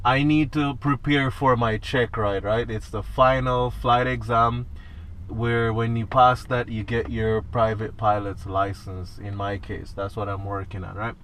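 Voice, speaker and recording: A man's voice: mid-range voice, flat delivery, a little slow, a bit bright, slight background noise. Jamaican man talking through a checklist. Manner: talks through a checklist, tagging lines with "right?". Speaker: student pilot. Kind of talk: casual instructional vlog. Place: inside a small airplane cockpit on the ground.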